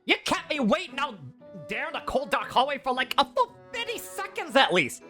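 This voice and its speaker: A woman's voice: high-pitched, sing-song, normal speed, pushed and bright, faint music behind, pitched above the performer's own voice, full character voice. A woman voicing a dramatic, impatient female stylist in her thirties who rants theatrically. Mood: ranting. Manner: sassy, ranting, theatrical.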